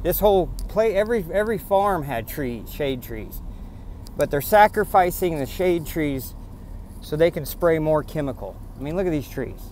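A man's voice: fairly high pitch, animated, moderate pace, a little mellow, slight background noise. Indignant man ranting from his truck. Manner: indignant, rambling, repeats himself. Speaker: vlogger. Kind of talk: casual rant to camera. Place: in a pickup truck on farm roads, then outdoors on rural land.

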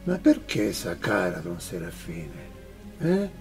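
An actor playing an effusive, friendly Italian neighbour with an exclamatory way of talking and an Italian accent, in a radio drama. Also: male voice, low voice, sing-song, slow pace, gravelly, a little mellow, faint music behind, heavily acted. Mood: friendly, concerned. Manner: exclamatory, mixes Italian into Spanish.

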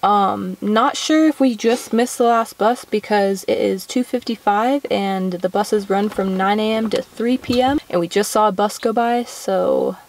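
A woman's voice: mid-range voice, measured pace, creaky, few pauses. A young woman vlogging a road trip outdoors, chatting casually with dry, teasing jokes. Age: young adult. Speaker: travel vlogger. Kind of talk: casual chat. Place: outdoors at a trailhead parking lot. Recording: noisy outdoor camera audio.

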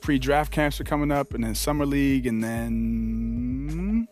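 An American actor playing an eager, easygoing young college basketball star in a video game, casual, earnest and a bit halting. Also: male voice, medium pitch, a little mellow, faint music behind, lightly acted. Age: young adult. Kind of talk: naturalistic video game cutscene acting.

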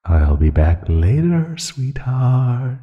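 A man's voice: mid-range voice, big pitch swings, phone-quality sound. A man playing a teasing, menacing naga predator in an ASMR roleplay, condescending and taunting in his delivery. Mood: playful menace. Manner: slow, taunting, condescending, with soft chuckles and sighs. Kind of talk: ASMR roleplay audio drama. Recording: long hollow reverb.

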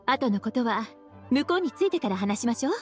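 A woman's voice: on the high side, animated, fairly quick, faint music behind, natural voice. A woman voicing a sultry teasing witch librarian. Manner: languid, teasing, calls herself big sister. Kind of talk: Japanese game dub.

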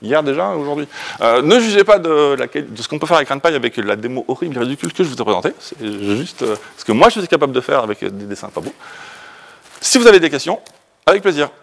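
A man with a French accent gives an informal tech presentation in a lecture room. His voice sits at a medium pitch. He talks like a conference speaker walking people through a demo, joking at his own expense. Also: big pitch swings, fast talker, creaky. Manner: informal, jokey, self-deprecating.

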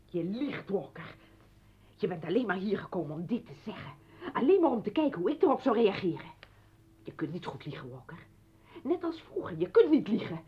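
An actress playing an exasperated, accusing mother confronting her ex-husband in a radio play, with a husky voice. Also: mid-range voice, sing-song, brisk. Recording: dull old radio recording.

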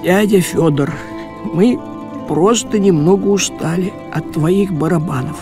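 A man's voice: high-pitched, measured pace. A man playing a sensible, scheming talking house cat in a cartoon, drawling and bossy. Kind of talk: animated cartoon acting. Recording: music under the voice.

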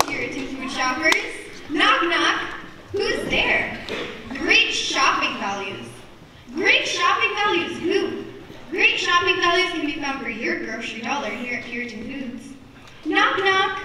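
A woman's voice: extremely high pitch, pushed and bright, heavily acted. A woman acting a chirpy supermarket announcer with a sing-song delivery in a stage comedy. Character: chirpy female supermarket announcer telling corny jokes. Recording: reverberant hall, distant, noisy.

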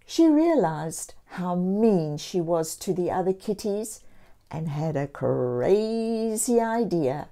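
A woman storyteller reading a picture book aloud to young children, expressively and deliberately, with encouraging asides. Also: on the low side, sing-song, dark tone. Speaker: children's storyteller and channel host.

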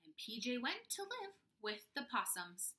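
A young woman reads a picture book aloud as a teacher would. Her voice is bright and forceful, with big pitch swings. She takes her time and leans on words for dramatic effect. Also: wildly swooping pitch. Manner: deliberate, dramatic emphasis. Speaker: kindergarten teacher. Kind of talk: expressive children's storybook read-aloud.

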